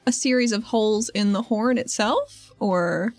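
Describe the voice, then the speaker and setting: A woman's voice: on the high side, unhurried, darkish tone, no room sound, faint music behind. A woman playing a tabletop game, narrating her actions and chatting in an informal, inquisitive way. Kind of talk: casual game-table talk.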